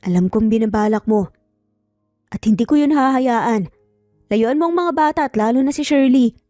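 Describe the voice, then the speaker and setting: A woman's voice: a little high, animated, normal speed, dark tone, no room sound, clean studio sound, soft background music, close to an everyday voice. Woman playing a bold, protective tough guy. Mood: firm, protective. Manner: firm, confrontational. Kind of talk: Tagalog audio story dialogue.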